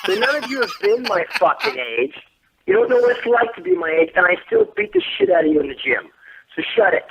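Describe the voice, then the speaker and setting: A man's voice: high-pitched, fairly quick, slightly rough. A man in his thirties, an actor giving an interview, telling stories with lots of swearing and boasting, acting out what others said. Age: 30s. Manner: profane, boastful, animated storytelling with quoted voices. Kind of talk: casual phone interview. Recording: tinny phone line.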